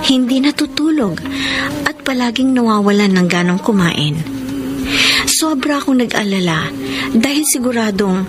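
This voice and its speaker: An actress playing a weary, caring wife of a sick husband in a Filipino radio drama, speaking in an emotional, heartfelt way. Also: female voice, mid-range voice, close to an everyday voice. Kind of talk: Filipino radio drama acting, narration and dialogue.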